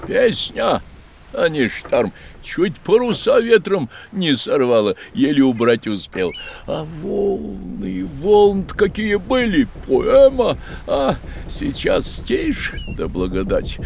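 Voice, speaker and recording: An actor playing an excitable, storm-loving yacht captain in a children's audio play, theatrical and full of exclamations. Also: male voice, sits high, slow, gravelly, slight background noise, full character voice. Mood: grumbling, rapturous. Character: excitable yacht captain who loves storms and is bored by calm.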